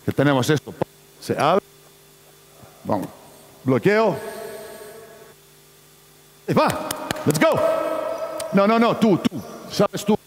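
Coach's voice echoing through a gym. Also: male voice, a little high, sing-song, slow, long pauses, slight background noise. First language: not Spanish. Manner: fragmented instructions, calling out to players. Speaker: basketball coach giving a clinic. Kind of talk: on-court coaching demonstration. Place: large indoor basketball arena. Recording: echoey sports hall.

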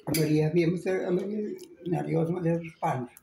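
Gravelly Portuguese man in an echoey room. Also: a little high, steady tone, fairly quick, dark tone, slight background noise. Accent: European Portuguese. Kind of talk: casual table chat. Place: home dining room, indoors. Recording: roomy phone recording, clinking dishes. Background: cutlery and dishes clinking.

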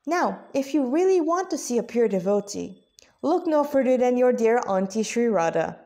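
A woman reading the part of a serene, pious devotee in a story, measured and earnest. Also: a little high, very animated, warm tone. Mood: gently praising. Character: serene, pious devotee, humble and gently teasing.

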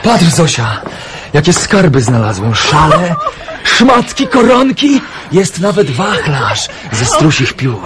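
A man's voice: a little high, big pitch swings, slow, heavy background noise. An actor playing a jolly, playful young man in a radio drama.

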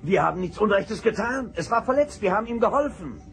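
An anxious alien administrator in a science fiction radio play. His voice is high and lively, agitated and pleading, over music. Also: fairly quick, vocal fry, full character voice.

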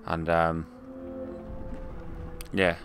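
A young man with a hoarse voice, giving chatty, wry let's play commentary and swearing now and then. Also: deep voice, monotone, very slow, music in the background. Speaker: gaming YouTuber.

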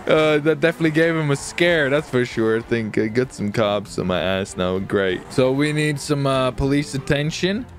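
A man giving casual, excited commentary on his gameplay, chatty and often repeating his phrases. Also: medium pitch, moderate pace, few pauses, a little hiss or hum. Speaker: gaming video host and player.

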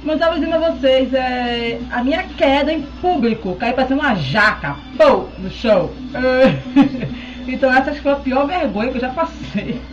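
A woman's voice: sits high, animated, moderate pace, highs cut off, slight background noise. A woman in her thirties chatting casually to a webcam at home, keeping in touch with viewers as she answers questions. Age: 30s. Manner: chatty, keeps checking in with "tá?". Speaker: beauty vlogger. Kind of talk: casual chat to camera. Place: indoors at home, small room. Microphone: webcam close up.

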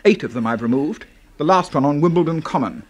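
An actor with an English accent playing a pompous, deranged military boss in a 1970s radio horror drama, clipped and commanding. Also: male voice, on the high side, lively intonation, brisk. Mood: grandiose, menacing. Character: pompous, deranged brigadier boss, secretly a serial killer.

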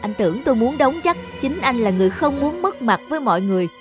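A woman's voice: sits high, big pitch swings, fast, music in the background. A woman voicing a worried, weary wife and mother in a cartoon, earnest and concerned. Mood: indignant. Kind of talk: cartoon dubbing.

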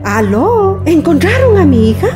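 A woman's voice: very high-pitched, sing-song, a little slow, heavily acted. A woman playing a distraught mother in a radio soap opera. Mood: anxious. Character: distraught mother of a missing daughter. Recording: radio drama with loud music.

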